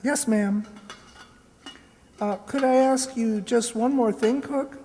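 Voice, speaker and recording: A woman's voice: on the high side, takes it slowly, dark tone, slight background noise. A woman playing a polite, earnest poor boy in an amateur radio play, hesitant and deferential. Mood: polite, pleading. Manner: deferential, hesitant, eager to please. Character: polite, earnest, humble poor boy seeking work in London. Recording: echoey hall, long reverb.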